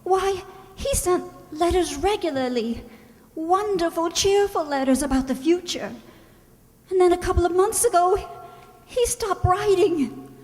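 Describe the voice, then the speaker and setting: Woman acting a high-voiced, distraught young wife. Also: expressive, a little mellow. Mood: anxious. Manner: halting, anxious, breaking off mid-sentence. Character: distraught young wife pleading for her sick husband. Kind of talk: old-time radio drama acting. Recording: roomy hall with long reverb.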